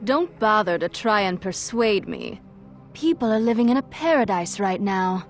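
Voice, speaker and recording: A woman's voice: medium pitch, expressive, moderate pace, soft background music, lightly acted. A woman voicing a stern, self-righteous female antagonist in a fantasy video game, formal and accusatory. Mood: stern, accusing. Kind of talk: video game cutscene acting.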